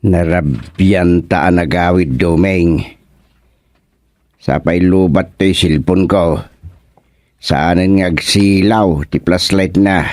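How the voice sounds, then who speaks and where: A man's voice: low voice, steady tone, slow pace, pauses often, a little mellow, no echo. A man playing a bumbling, easily startled villager who stammers and exclaims in a comedy radio drama. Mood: weary.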